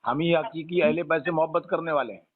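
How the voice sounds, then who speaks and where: A man's voice: a little high, brisk, slightly rough. A man on a phone call, questioning and urging in an insistent, argumentative but respectful way. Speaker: caller. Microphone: telephone. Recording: narrow, tinny phone call.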